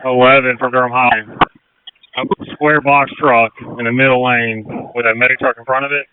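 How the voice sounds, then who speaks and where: A man's voice: mid-range voice, flat delivery, moderate pace, clear, resonant tone. A man in command at an emergency scene, giving matter-of-fact orders over two-way radio. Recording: phone-like, noisy two-way radio with clicks.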